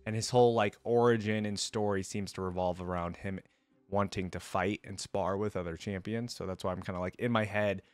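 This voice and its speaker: A man's voice: sits low, fairly even intonation, brisk, clean tone, dry recording. A man hosting a reaction video, commenting casually and speaking to viewers.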